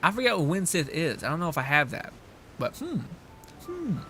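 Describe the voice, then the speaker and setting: A man's voice: on the high side, huge pitch swings, normal speed, sharp tone, soft background music. A man giving casual live game commentary as a streamer, rambling and slangy, with frequent swearing.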